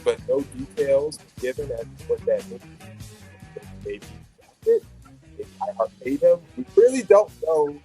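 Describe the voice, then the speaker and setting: A man's voice: a little high, sing-song, unhurried, dark tone, soft background music, rough recording. A man hosting an opinionated panel debate, blunt and needling in the way he talks. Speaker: host of a hip-hop debate show.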